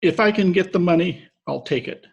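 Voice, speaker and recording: Man playing a very gravelly, jilted fisherman. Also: high-pitched, normal speed, muffled recording, heavily acted. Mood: aggrieved. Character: jilted, gullible, aggrieved fisherman from Maine. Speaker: actor in an amateur radio-play reenactment. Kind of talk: old-time radio drama acting. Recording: remote home recording over video call.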